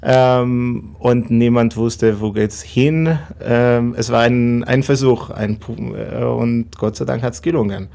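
A man in his thirties with an Israeli accent answers interview questions in careful, non-native German. His voice has a gravelly, creaky edge, and he sits at an ordinary middle pitch. He pauses often to search for words. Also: steady tone, dark tone. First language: Hebrew. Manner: halting, many fillers, non-native phrasing. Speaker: rabbi.

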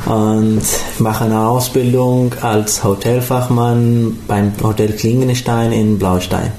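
A man's voice: on the low side, little pitch movement, slow, mellow, reverberant, slight background noise. A man from Afghanistan, a studio guest on a radio interview, answering briefly.

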